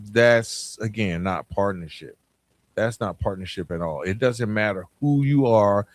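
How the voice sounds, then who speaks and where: A man's voice: lowish voice, dry recording. A man hosting a podcast, giving a motivational talk straight to listeners in an emphatic, direct way, repeating phrases for weight. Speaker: podcast host.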